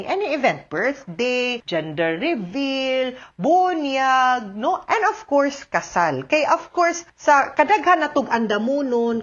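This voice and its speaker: A woman's voice: a little high, lo-fi sound. A woman with a Cebuano Filipino accent giving conversational advice as a radio host, explaining emphatically with frequent tag questions. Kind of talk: conversational advice talk mixing in English.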